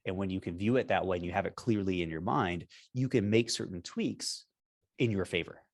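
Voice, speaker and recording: A man, a company founder, talking in a casual business interview over a video call. He is energetic and emphatic and keeps checking in with "right?". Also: sits low, fairly quick. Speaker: software company founder, guest.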